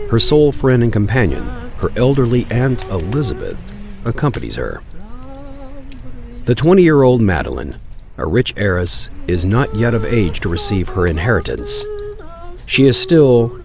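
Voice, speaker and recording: A man narrating a Victorian tale in a deliberate, theatrical storytelling style, broken into short dramatic phrases. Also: deep voice, gravelly.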